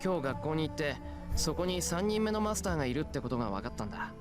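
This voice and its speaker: A man's voice: fairly high pitch, big pitch swings, very fast. A man playing an earnest, casual teenage schoolboy in a Japanese anime visual novel. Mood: explanatory. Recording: music behind the voice.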